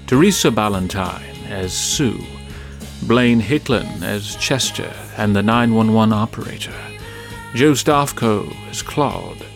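A man reading the credits of a radio drama in a measured, formal way. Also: on the low side. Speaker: credits announcer. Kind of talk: scripted narration.

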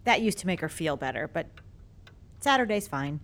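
A woman voicing a quiet, subdued mother at home in an audio drama. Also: deep voice, very animated, normal speed, exaggerated voice.

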